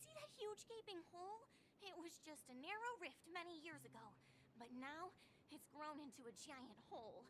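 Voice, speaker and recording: A woman's voice: high voice, expressive, normal speed, noisy background. A woman voicing an obsessive, earnest young female dreamscape surveyor in a video game, explaining things urgently. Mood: intent, absorbed. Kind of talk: video game character acting.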